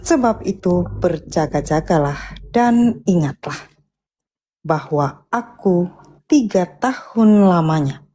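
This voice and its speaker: A woman reading Bible verses aloud deliberately, one phrase at a time. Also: on the low side, lively intonation, mellow, a little hiss or hum. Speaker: scripture reader assisting the preacher.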